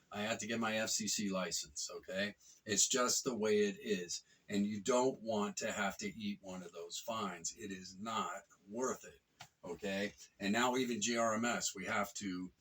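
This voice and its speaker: Guy doing a casual gear review, husky rough voice, folksy and emphatic, sounds a bit away from the mic. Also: lowish voice, a bit bright, distant mic. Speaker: product reviewer vlogger. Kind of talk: casual informal product review chat.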